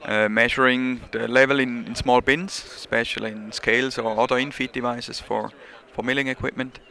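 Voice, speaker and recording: A man's voice: medium pitch, steady tone, moderate pace, gravelly, sharp tone. A man with a Swiss accent, not a native English speaker, being interviewed about his product at a trade show and talking in a hesitant way, with many fillers and restarts. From Switzerland. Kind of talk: casual interview.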